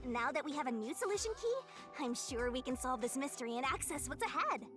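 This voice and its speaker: A bratty little death-god guide with a high voice that leaps around in pitch. She is smug, lazy and playful in a theatrical anime game style, with music clearly playing behind her. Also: animated, fast. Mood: playful, confident.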